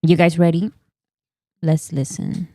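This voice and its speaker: A woman's voice: deep voice, little pitch movement, unhurried, noisy background. A woman hosting a casual chat, teasing her co-host and laughing as she pushes ahead.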